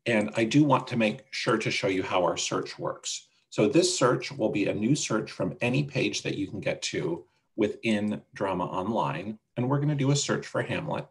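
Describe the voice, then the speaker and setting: A man presenting a casual software walkthrough on a video call, explaining things step by step. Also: lowish voice, animated, moderate pace, hardly any pauses. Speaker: webinar presenter demonstrating a website.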